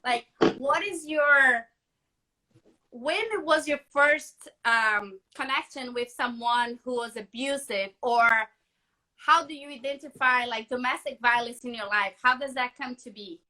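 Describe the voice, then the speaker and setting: A woman journalist hosting a conversational interview, enthusiastic and warm. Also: high voice, measured pace, poor audio. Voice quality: warm, enthusiastic, restarts often. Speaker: host, journalist.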